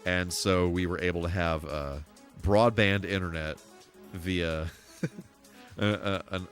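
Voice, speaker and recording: A man's voice: deep voice, fairly even intonation, slow. An American man giving casual, rambling, good-humored commentary on a gaming livestream. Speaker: live streamer. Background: game music.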